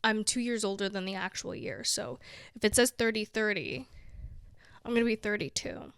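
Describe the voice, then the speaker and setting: A woman's voice: mid-range voice, moderate pace, a bit bright, clean tone, no room sound, studio quality. A woman in her twenties chatting casually as a vlogger, giggling often and filling her talk with "like.". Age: 20s. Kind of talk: casual chat to camera.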